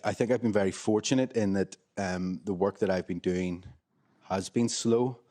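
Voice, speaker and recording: A man with a Northern Irish accent, a history professor giving an academic panel talk in a measured, lecturing way with frequent ums. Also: deep voice, darkish tone. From Northern Ireland.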